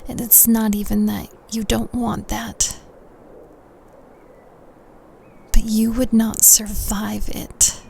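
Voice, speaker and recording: A woman plays a young secret society recruiter from an elite prep school, in an intimate audio roleplay. She speaks slowly, with a creaky edge and phrases that sink toward a whisper. Her manner is coaxing and confiding, with quiet menace underneath. A faint noise sits behind the voice. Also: a little high. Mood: quietly threatening.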